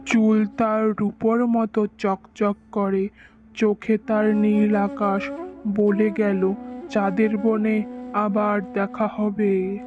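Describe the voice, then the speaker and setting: A woman voicing an old village grandfather who tells mysterious fairy tales in a slow, solemn way for a cartoon. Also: mid-range voice, little pitch movement, phone-quality sound, music in the background, natural voice. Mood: hushed, mysterious. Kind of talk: animated story character dialogue.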